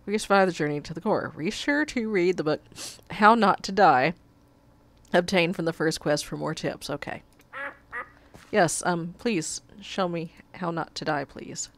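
Woman with a cold, slow and low. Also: slight background noise. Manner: reads quest text aloud, swears and chuckles at mishaps. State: has a cold, sucking a cough drop. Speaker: let's play gamer. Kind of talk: casual gameplay commentary.

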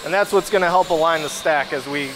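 A man's voice: on the high side, quick pace. A man explaining a step-by-step process in a weld shop, his plain explanation recorded amid shop noise. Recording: noisy shop floor.

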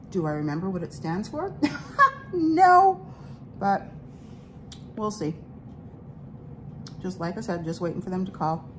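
A woman's voice: medium pitch, noisy background. A middle-aged woman, a lighthouse keeper, chatting casually and rambling a bit to a phone camera at her kitchen table. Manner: chatty, rambling, self-interrupting. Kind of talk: casual vlog chat to camera. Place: home kitchen indoors.

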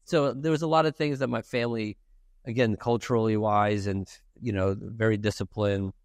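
Man, 40s, from Ukraine, Russian first language, podcast guest, low voice, dry. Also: fairly even intonation, normal speed, no echo. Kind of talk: casual interview. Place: bright office with a lounge set.

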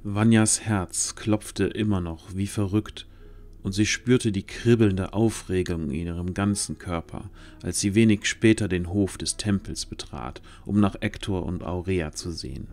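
Low-voiced man narrating fantasy. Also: measured pace, darkish tone. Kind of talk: scripted fantasy story narration.

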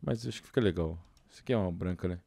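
A young Brazilian man hosts a gaming video in a low, mellow voice. He talks informally and with plenty of slang, thinking aloud as he plays. The sound is dry, with no room around it. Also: racing, creaky, no room sound. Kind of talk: casual gameplay commentary.

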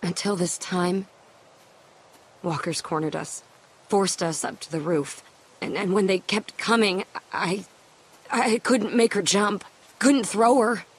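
A woman's voice: mid-range voice, takes it slowly, pauses often, slightly rough. A woman playing a hardened, guarded young survivor in a video game drama, speaking somberly and bluntly. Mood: grim, regretful.

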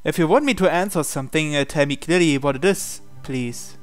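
Man, fairly high pitch, unhurried, hesitant visual novel read-aloud, faint music underneath. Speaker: player reading a game's dialogue aloud. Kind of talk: reading visual novel lines in character. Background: game music.

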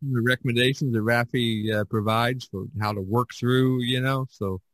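A man hosts a relaxed, conversational podcast. His voice sits at an average pitch, and his phrasing is halting and hesitant. The recording is dry, with no room sound. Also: no room sound. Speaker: podcast host. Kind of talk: casual podcast discussion.

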